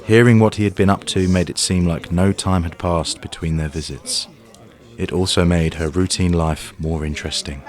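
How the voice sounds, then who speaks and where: A man's voice: low voice, steady tone, moderate pace, a little mellow. A man narrating a scripted fantasy audio drama as its storyteller. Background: music.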